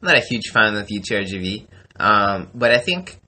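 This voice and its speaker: Bright-voiced chatty host from Mexico. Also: male voice, fairly low pitch, sing-song, a little fast, slight background noise. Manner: chatty, laughs easily, filler-heavy. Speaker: podcast host. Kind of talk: casual podcast interview. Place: church campus center office. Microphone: phone on a table.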